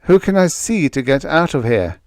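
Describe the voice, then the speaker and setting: A man's voice: fairly high pitch, very animated, moderate pace, a little mellow, no room sound, studio quality, pitched above the performer's own voice. A man reading an audiobook, voicing an anxious, impatient family man on a spaceship who talks in an agitated, questioning way. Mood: confused, urgent. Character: anxious, impatient husband and father stowed on a spaceship. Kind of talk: audiobook character voice.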